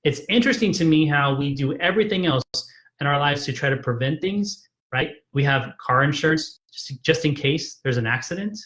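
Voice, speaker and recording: A man's voice: fairly high pitch, expressive, moderate pace, a bit bright. A man, a chiropractor, giving an emphatic, persuasive health talk in a live video, frustrated and repeating himself with frequent "right?" tags.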